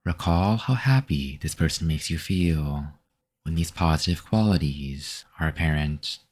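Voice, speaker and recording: A man guiding a meditation with calm, measured, instructive scripted narration. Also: very deep voice. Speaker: meditation guide.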